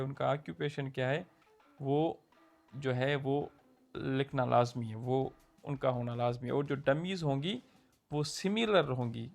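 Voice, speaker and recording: A man's voice: mid-range voice, vocal fry. A young man with a Pakistani Urdu accent explains a point of law in a casual, conversational lesson, often checking in with his listeners. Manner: conversational, checks in with "theek hai". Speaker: law lecturer.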